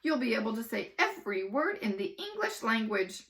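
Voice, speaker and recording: A woman's voice: on the high side, sing-song, normal speed, a bit bright, pitched above the performer's own voice. A woman reading aloud, voicing a cheerful, zany female speech teacher with a chirpy sing-song delivery. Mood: chirpy sales pitch.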